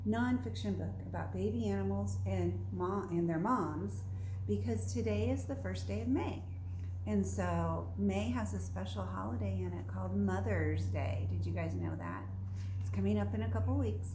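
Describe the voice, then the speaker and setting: A woman teacher reading aloud to young children, explaining simply and checking in with questions as she goes. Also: lowish voice. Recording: roomy echo, faint background noise.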